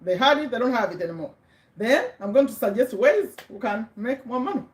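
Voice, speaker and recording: A woman's voice: on the high side, animated, fairly quick. Chatty Ugandan woman, echoey room. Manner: chatty, checks in with viewers, repeats words for emphasis. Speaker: livestream host. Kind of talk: casual motivational livestream.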